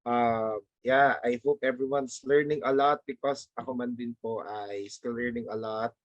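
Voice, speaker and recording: A hoarse man leads a game segment, speaking in a friendly, easygoing way. He is from the Philippines. The sound is dry and close. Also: medium pitch, fairly even intonation, normal speed, no echo, muffled recording. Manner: friendly, mixes in Tagalog. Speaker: game host. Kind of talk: webinar game hosting.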